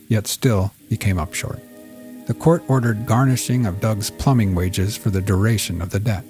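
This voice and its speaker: Low, mellow male storyteller over music. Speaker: host and narrator of a fiction podcast. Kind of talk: scripted story narration.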